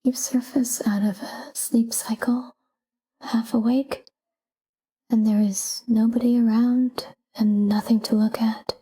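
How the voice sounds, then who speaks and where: Woman, breathy whisper, very slow, even pitch, calm sleep meditation guide. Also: rough recording. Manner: calm, hushed, soothing.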